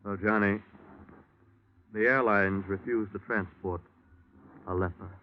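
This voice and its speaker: An actor playing an earnest, persuasive chaplain with a measured manner, in 1940s radio style. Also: male voice, fairly low pitch, fairly even intonation, lightly acted. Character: earnest, persuasive male chaplain arranging a mission. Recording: tinny, hissy 1940s radio recording.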